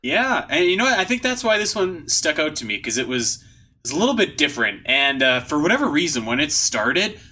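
Male podcast host, very bright forceful voice, upbeat and slangy, casual chat. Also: on the high side, big pitch swings, a little fast.

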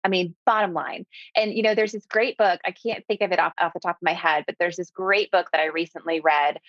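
A woman speaking as an interview guest, pressing her points and repeating words for weight. Her voice sits fairly low for a woman. The sound is close and dry, a little dull and lo-fi. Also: fairly quick, no room sound. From southeastern United States. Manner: emphatic, repeats key words, animated delivery. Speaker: guest, business owner. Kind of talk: conversational interview.

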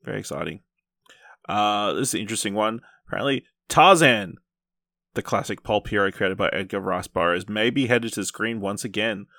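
A man's voice: fairly low pitch, brisk, bright, forceful tone, dry recording, clean studio sound. A man hosting a podcast, chatting casually about film and reading out news items.

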